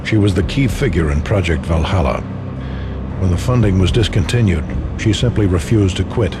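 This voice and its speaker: An actor playing a polished, secretive old man who speaks in a formal, measured way. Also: deep voice, background noise. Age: older. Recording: loud music under voice.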